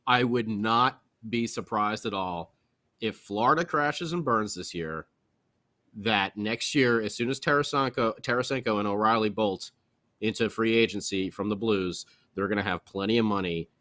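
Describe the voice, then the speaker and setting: A man co-hosting a hockey podcast, talking in an animated, slangy way like a fan chatting casually. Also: fairly low pitch, moderate pace. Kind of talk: casual sports fan chat.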